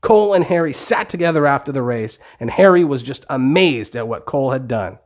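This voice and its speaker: A man's voice: medium pitch, expressive, brisk, slight background noise. Male storyteller, medium register, for simple scripted children's bedtime narration.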